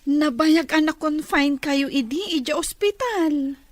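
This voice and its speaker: A woman with an Ilocano accent acting a warm, concerned young friend in a radio drama. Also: sits high, measured pace, no room sound. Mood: cheerful, encouraging.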